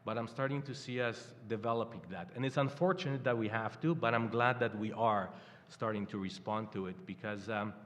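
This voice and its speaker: Polish-accented older man, halting, echoey hall. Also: on the low side, a bit bright. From Poland. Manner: halting, many fillers and restarts. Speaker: campaign guest. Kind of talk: live panel conversation. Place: stage in a hall before a live audience. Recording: hall reverb.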